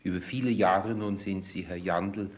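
A man interviewing a guest with prepared questions in formal, measured phrasing. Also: low voice, fairly even intonation.

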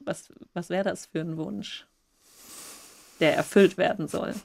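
A woman's voice: fairly low pitch, fairly even intonation, a little slow, gravelly, darkish tone. Woman hosting a panel interview. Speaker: host. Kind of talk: interview moderation.

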